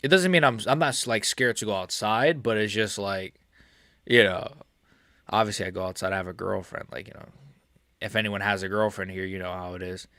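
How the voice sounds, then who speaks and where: A man's voice: fairly low pitch, brisk, sharp tone, no room sound, clean studio sound. A man in his early twenties from the United States, chatting casually on a live stream, rambling with lots of fillers and laughs. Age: 20s. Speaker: MMA YouTuber streaming live. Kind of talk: casual live-stream chat reading chat questions.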